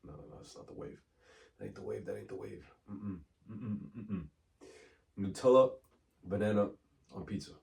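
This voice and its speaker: A man hosting a casual podcast, hoarse from a cold, loose and slangy, repeating himself as he talks. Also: lowish voice, sing-song, normal speed, pauses often, darkish tone. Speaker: podcast host. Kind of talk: casual chat. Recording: distant mic with faint room noise.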